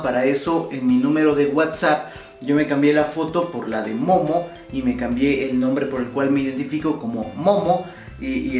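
A young adult man with a Mexican accent chatting casually and playfully to viewers as a vlog host, slangy and often repeating himself. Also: on the high side. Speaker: YouTube prankster host. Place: small indoor room with shelves. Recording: roomy echo, light music behind.

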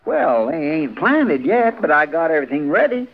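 An actor playing a folksy, earnest frontier deputy with a rural American Western drawl in a 1950s radio drama. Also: male voice, fairly high pitch, big pitch swings, normal speed, crowd noise. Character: folksy, earnest, penniless deputy in the Old West. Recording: dull vintage 1950s radio recording.

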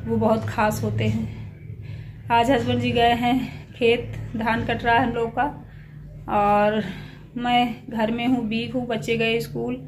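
Weary, high-voiced woman vlogger. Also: flat delivery, slow pace, over music. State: unwell with a chill, tired, slightly breathless. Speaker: small family vlogger. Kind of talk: casual chat to viewers. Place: at home, alone. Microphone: handheld phone. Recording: clearly noisy handheld phone recording.

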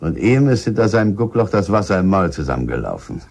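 A bitter village husband in a radio drama, with a very low, husky voice. He talks coarsely and guardedly, halting over his answers like someone under suspicion. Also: sing-song, darkish tone, full character voice. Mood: scornful. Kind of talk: German radio drama acting.

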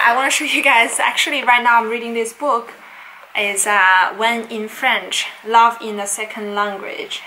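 Chatty young woman, bright voice. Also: medium pitch, a little hiss or hum, rough recording. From China. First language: Chinese. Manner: chatty, rambling, with frequent fillers and restarts. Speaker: language vlogger. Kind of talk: unscripted casual vlog. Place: home study with bookshelves, indoors.

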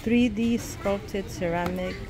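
A young woman chatting casually and excitedly to her phone camera while shopping and then eating out. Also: mid-range voice, takes it slowly, over music. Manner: chatty, excited, exclaims over cute things. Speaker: vlogger. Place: busy store and fast-food restaurant. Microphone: handheld phone camera. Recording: noisy public place ambience.

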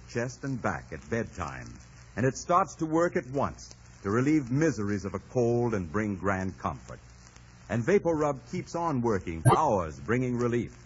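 Male 1940s radio announcer, scripted ad style, very animated pitch, dull vintage recording. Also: a little hiss or hum. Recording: muffled old radio broadcast, faint music.

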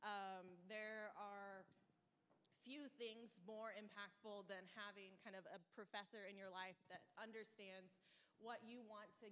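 A young woman opens a panel discussion in a brisk, upbeat way, using a handheld microphone in a lecture room full of people. Her voice sits at an ordinary pitch. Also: fairly bright tone, smooth. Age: young adult. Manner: brisk, upbeat, lots of fillers. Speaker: panel moderator. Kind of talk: moderating a panel.

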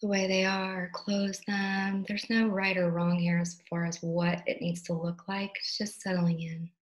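A woman meditation teacher leading a guided meditation, speaking softly and gently in unhurried phrases with long pauses. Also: mid-range voice, flat delivery. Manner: gentle, instructional, soothing.

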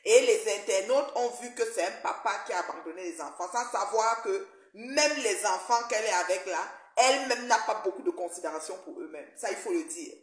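Woman, 40s, middle-pitched voice, animated and indignant, phone mic, living room, roomy echo. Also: fairly quick, hardly any pauses. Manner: animated, gesturing, indignant recounting. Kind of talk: video call discussion. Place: living room, seated in armchair.

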